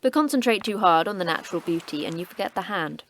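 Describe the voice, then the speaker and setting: An actress playing a terse, cryptic station manager who trains in martial arts, speaking in a curt, dry, sardonic way. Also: female voice, on the low side, lively intonation, fast talker, background noise. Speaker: station manager of a secret facility.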